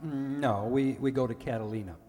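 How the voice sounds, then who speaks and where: A man's voice: mid-range voice, warm tone, natural voice. A man playing an earnest, literal-minded ship's purser who gives hesitant answers as a radio quiz contestant. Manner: hesitant, halting, plainly literal. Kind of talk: recreated old-time radio quiz show. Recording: echoey hall with long reverb.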